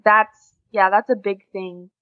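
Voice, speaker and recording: A teenage American woman talks casually in an interview, in a chatty, informal and giggly way. Her voice sits at an ordinary pitch. The sound is very dry and a little lo-fi. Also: steady tone, takes it slowly, no room sound. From USA. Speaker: podcast guest, exchange student.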